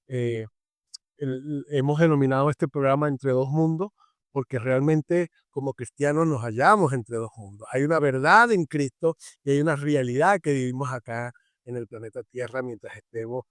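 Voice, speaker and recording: A man's voice: mid-range voice, moderate pace, dry recording, studio quality. Venezuelan man hosting a faith podcast. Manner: warm welcoming, many fillers and restarts. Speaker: podcast co-host.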